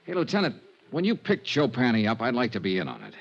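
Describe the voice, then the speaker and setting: An American actor playing a tough, shrewd insurance investigator in clipped 1950s radio detective style. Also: male voice, mid-range voice, big pitch swings, quick pace. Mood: wry, guarded. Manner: clipped, hard-boiled delivery. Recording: vintage 1950s radio recording, dull, music behind.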